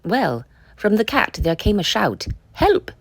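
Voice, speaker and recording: Female storyteller for children's books, unhurried, very animated delivery, dry close sound. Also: mid-range voice, breathy edge, no echo. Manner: expressive, emphatic storytelling. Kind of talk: children's picture book read-aloud.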